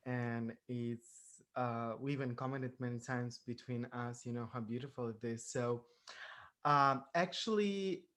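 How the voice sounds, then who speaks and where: A man making casual, halting remarks on a video call, pausing on fillers. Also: medium pitch, a bit bright.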